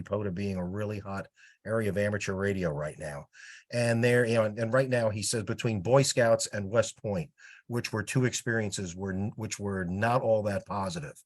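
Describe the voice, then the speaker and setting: A man with a fairly low voice reports to colleagues on a teleconference. His delivery is informal and rambling, circling back to qualify what he says. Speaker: volunteer outreach coordinator giving a report. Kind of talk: informal meeting update. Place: remote teleconference.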